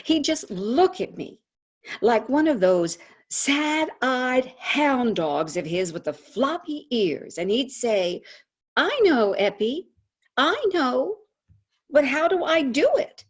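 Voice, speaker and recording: Actress as a frank, slow-speaking, animated woman columnist. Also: high-pitched, exaggerated voice. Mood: wry, animated. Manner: dramatic, confiding, deliberate pauses. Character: celebrated woman advice columnist, frank, patriotic, wryly sorrowful. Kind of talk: theatrical monologue, staged reading. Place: indoors, remote video call. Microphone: video call microphone.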